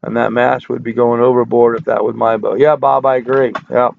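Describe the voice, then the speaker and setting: An older man hosting a casual live stream, hoarse from hours of talk, folksy and rambling as he answers chat comments. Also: medium pitch, level tone, brisk, a little hiss or hum. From United States. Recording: dry, tinny phone-like audio.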